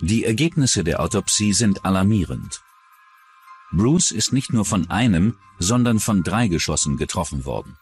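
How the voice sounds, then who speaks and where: A man's voice: low-pitched, sing-song, normal speed, a little mellow, faint music behind. A man reading scripted true-crime documentary narration.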